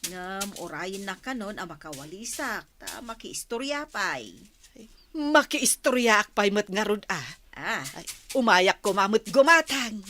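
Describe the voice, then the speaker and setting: A woman's voice: mid-range voice, big pitch swings, a little slow, pushed and bright, slight background noise. A woman playing a chatty, teasing village housewife in a conversational radio drama.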